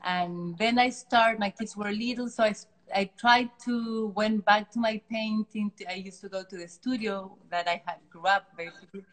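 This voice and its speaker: Chilean-accented middle-aged woman, halting. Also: medium pitch, steady tone, rough recording. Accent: Chilean Spanish. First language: Spanish. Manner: warm, halting, frequent restarts. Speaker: artist guest. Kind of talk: casual interview. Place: art studio.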